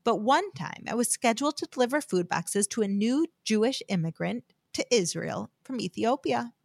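A woman's voice: on the high side, animated, moderate pace, vocal fry, no room sound. An American woman giving a heartfelt, scripted devotional talk that she tells as a personal story. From United States.

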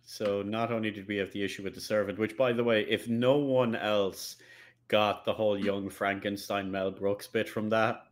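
A man's voice: on the low side, steady tone, moderate pace. A man co-hosting a casual discussion of an audio drama in a wry, teasing way. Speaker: co-host discussing a radio drama episode. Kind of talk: casual chat.

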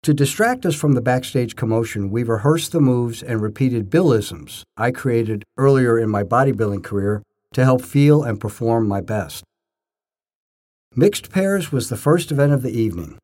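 An older man narrating his own scripted first-person stories in short, measured phrases with a storyteller's emphasis. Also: medium pitch, expressive, a little mellow.

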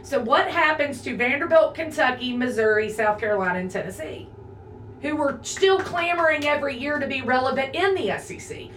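A woman's voice: high voice, lively intonation, brisk, a bit bright. A woman in her forties talking sports in casual chat, opinionated and emphatic, going on at length. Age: 40s. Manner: opinionated, emphatic, talks in long runs. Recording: roomy echo, a bit far from the mic, faint noise.

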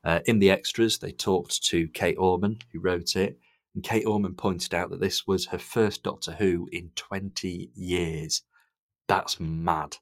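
A man with a very low voice hosts a podcast in a casual, conversational way. He sounds enthusiastic as he shares his opinions. The sound is dry, with very little room in it. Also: steady tone, unhurried, no room sound. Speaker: podcast host. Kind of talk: casual review chat.

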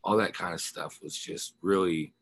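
A man's voice: deep voice, fairly even intonation, moderate pace, fairly bright tone, no room sound. An American man from the Ozarks chatting casually as a musician being interviewed, in a rambling, slangy, informal way. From Ozarks, United States. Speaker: interview guest, rock musician.

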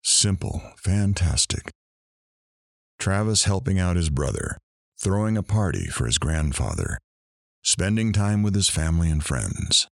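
A sardonic radio DJ in an audio drama. His low voice swings widely in pitch, from deadpan asides to showy announcements. It sounds close and dry, with a philosophical streak. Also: unhurried, gravelly, dry recording, heavily acted. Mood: wry, reflective. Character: sardonic male morning radio DJ, theatrical and philosophical. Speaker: radio talk show host.

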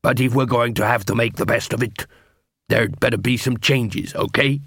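A man voicing a grumbling dwarf watchman with a deliberate, instructive way of talking in a fantasy story. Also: medium pitch, creaky, fairly bright tone, husky, no room sound, studio quality. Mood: grudging.

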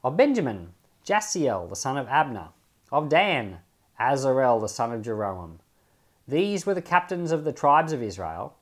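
A male pastor reads and explains scripture in a mid-pitched voice. His intonation swings widely up and down, while his phrasing stays measured and deliberate. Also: very animated. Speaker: pastor and Bible podcast host. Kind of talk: scripture reading with informal commentary.

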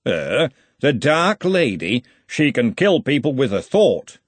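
An edgy, suspicious dwarf shopkeeper stutters and frets in a slightly husky voice, as a male reader puts him on. The recording is close and dry. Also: mid-range voice, big pitch swings, slow, no echo, exaggerated voice. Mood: nervous wary. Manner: nervous, stuttering.

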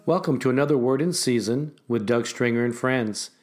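A man hosts a ministry podcast and opens it with a prepared introduction. He speaks earnestly at an even, measured pace. He builds his points as lists of short phrases. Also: medium pitch, music in the background. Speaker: podcast host.

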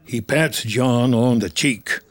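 A man's voice: mid-range voice, takes it slowly. A man reading scripted screenplay narration of a film story. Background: music.

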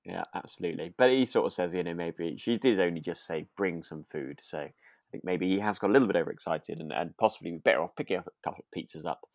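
A man's voice: low voice, fast, gravelly, no echo, studio quality. A man co-hosting a podcast, recapping a drama in casual, agreeable chat with hesitant, trailing asides. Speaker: podcast co-host.

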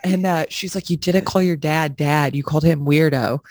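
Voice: medium pitch, fairly even intonation, normal speed, a little mellow, no room sound. A young adult podcast co-host telling stories in casual, profane chat, laughing often. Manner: profane, laughing, slangy storytelling.

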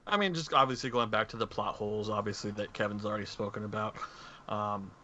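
A man's voice: lowish voice, fast talker. A man in a casual movie discussion as a podcast panelist, speaking in hesitant, meandering phrases. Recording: dull lo-fi mic, faint noise.

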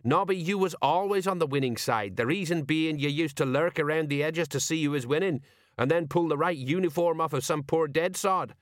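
Guy doing rambly old working class sergeant, folksy and defensive, higher voice, audiobook character. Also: brisk, dry recording, studio quality, lightly acted. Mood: exasperated.

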